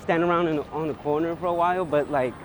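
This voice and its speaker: Slangy young New York guy, highish voice. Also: quick pace. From New York City, USA. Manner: slangy, enthusiastic, frequent "like". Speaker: street photographer, guest. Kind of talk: casual chat. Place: outdoors on a busy city street. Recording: clear outdoor street and traffic noise.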